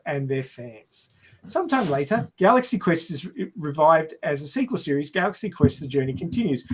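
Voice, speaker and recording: A man's voice: on the high side, a bit bright. A man hosting a podcast, recapping and casually discussing a film. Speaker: podcast host.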